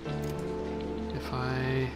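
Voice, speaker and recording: A man's voice: mid-range voice, monotone, drawn-out words, loud music behind the voice. A man giving casual let's play commentary, thinking aloud as he plays.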